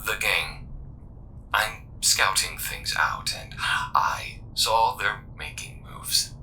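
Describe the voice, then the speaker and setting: A man playing a grim, terse scout defending a frontier space town in an audio drama, speaking gravely and deliberately in a breathy half-whisper over a noisy comms call. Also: on the low side, big pitch swings, sharp tone, full character voice. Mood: grim, urgent.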